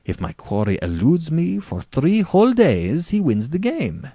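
A man's voice: a little high, huge pitch swings, a little slow, warm tone, no room sound, pitched above the performer's own voice, full character voice. A man voicing an urbane, arrogant aristocratic general in a story, with bland, precisely worded condescension. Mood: bland, cruel. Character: urbane, arrogant male aristocrat general who hunts men.

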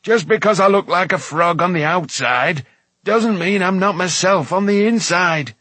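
A man's voice: fairly high pitch, animated, unhurried, a bit bright, husky, no echo, heavily acted. A man voicing an irascible dwarf trapped in a frog's body for an audiobook, snappish, scornful and blustering. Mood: exasperated, scornful. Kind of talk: audiobook character voice.

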